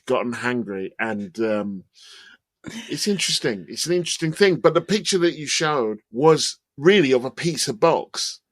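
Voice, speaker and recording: A man's voice: mid-range voice, moderate pace, no room sound. A man co-hosting a podcast in casual chat, telling stories and acting out what others said. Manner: animated storyteller who acts out quoted voices. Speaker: podcast co-host.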